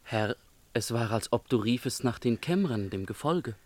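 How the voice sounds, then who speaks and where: A man's voice: medium pitch, animated, dry recording, lightly acted. Casting: male actor as devoted young chamberlain for radio verse drama; mid-range voice, reverent, eager to serve, dry studio sound. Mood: deferential, hesitant. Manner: measured, deferential, solemn. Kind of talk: theatrical German verse radio drama acting.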